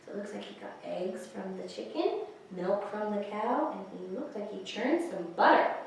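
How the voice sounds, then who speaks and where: A woman's voice: mid-range voice, animated, moderate pace, vocal fry. Echoey woman reading to young children. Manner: explains pictures, asks young listeners questions. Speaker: children's librarian, story time host. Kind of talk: reading picture books aloud to young children, with asides. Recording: echoey, distant microphone, faint hiss.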